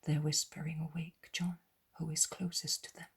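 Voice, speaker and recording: Woman narrator, whispered storytelling, gravelly, very breathy, slow. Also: low voice, level tone. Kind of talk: reading a religious story aloud.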